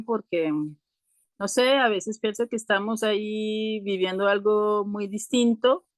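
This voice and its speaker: A woman guest telling her story casually in an interview, pausing often to search for words. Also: mid-range voice, dry recording.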